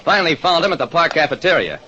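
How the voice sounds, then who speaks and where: An actor playing a terse American private detective, narrating an old radio crime drama. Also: male voice, fairly high pitch, very animated, fast talker, noisy background. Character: wry, quick-thinking American private detective. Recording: dull vintage radio recording, music behind.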